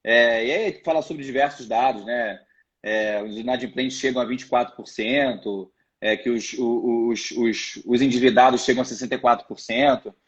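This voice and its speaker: A man's voice: medium pitch, steady tone, normal speed. A man speaking as a guest in a live online interview, explaining his views hesitantly with many restarts. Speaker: guest, financial education project member. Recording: muffled video call audio.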